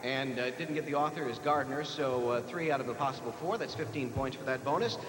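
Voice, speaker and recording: A man's voice: mid-range voice, fast, a bit bright, soft background music. An American man hosting a live quiz show, reading out questions and ruling on answers. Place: large echoey hall, live televised competition. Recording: noisy, echoey 1980s TV broadcast in large hall.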